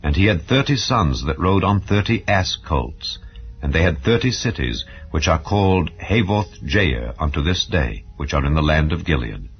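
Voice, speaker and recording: Male narrator for dramatized Bible audio: very low pitch, solemn, measured, slightly dull recording. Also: animated. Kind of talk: dramatized scripture reading. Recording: dull, muffled lo-fi sound.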